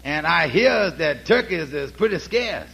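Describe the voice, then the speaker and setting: A kindly, level-headed family man in a 1940s radio comedy. His high voice is heavily creaky, and he speaks in a folksy dialect as a loyal friend. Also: very animated, a little slow, a bit bright, exaggerated voice. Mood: sensible, friendly. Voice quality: raspy, creaky. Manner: folksy, ungrammatical dialect speech. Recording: vintage 1940s radio broadcast.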